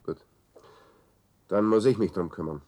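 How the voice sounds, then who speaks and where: A man's voice: on the low side, measured pace, darkish tone, a little hiss or hum, lightly acted. A man playing a smug, coolly obsessed scientist in a radio science-fiction drama, urbane and lecturing. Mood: brisk, matter-of-fact. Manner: urbane, self-satisfied, lecturing.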